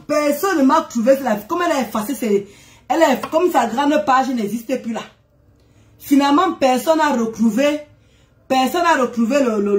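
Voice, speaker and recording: A woman with a head cold, chatting casually on a live stream, greeting viewers, teasing and exclaiming with frequent laughs. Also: high voice.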